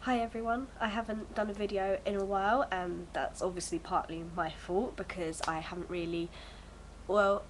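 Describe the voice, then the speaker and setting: A woman's voice: mid-range voice, fairly even intonation, moderate pace, a little hiss or hum, low-quality recording. Bunged-up young woman rambling to camera. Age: early 20s. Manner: rambling, hesitant, many fillers. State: bunged up from a cold. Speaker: vlogger. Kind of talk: casual chat to camera. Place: at home.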